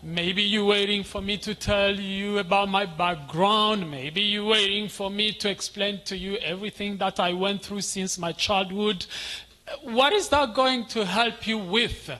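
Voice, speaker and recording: A male guest pastor delivers a sermon in a high, forceful voice. He takes his time, speaking in short phrases and addressing the congregation directly. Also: fairly even intonation, slow pace.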